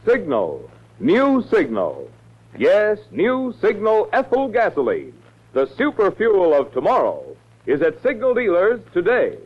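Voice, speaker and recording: A man's voice: high voice, wildly swooping pitch, unhurried, slight background noise. A man with an American accent announcing a sponsor and program in an emphatic, showy 1940s radio style. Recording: muffled 1940s radio recording.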